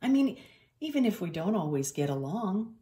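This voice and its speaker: A woman voicing a polite, kindly male cat farmer in a storybook, speaking gently and earnestly. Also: mid-range voice, sing-song, moderate pace, natural voice.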